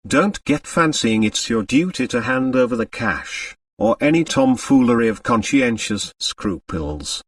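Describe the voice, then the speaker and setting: A man's voice: medium pitch, measured pace, few pauses, no room sound, clean studio sound, far from the mic. A man voicing a big, middle-aged storybook doctor, breezy, blustering and emphatic in his indignation. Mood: indignant, fuming. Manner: energetic, emphatic, fuming.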